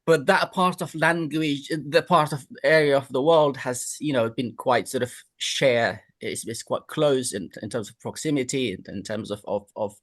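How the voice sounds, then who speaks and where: A man from Afghanistan speaking in a group discussion, halting and repeating words. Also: mid-range voice. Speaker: discussion participant.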